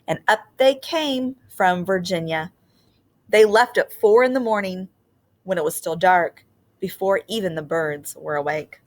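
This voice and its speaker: A woman's voice: on the low side, unhurried. Woman reading a picture book aloud. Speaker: teacher reading aloud to children.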